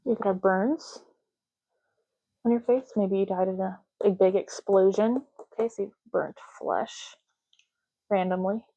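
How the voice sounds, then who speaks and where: A soft-spoken woman doing an ASMR roleplay as a clerk, explaining gently and unhurriedly in short, broken phrases. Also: medium pitch, measured pace, pauses often, no echo, muffled recording. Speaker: ASMR creator playing an ID card clerk.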